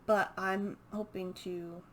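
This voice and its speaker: Woman, casual book vlogger, unhurried pace, thinking aloud with trailing pauses, mid-range pitch, faint room noise. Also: flat delivery. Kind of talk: casual unscripted chat to camera.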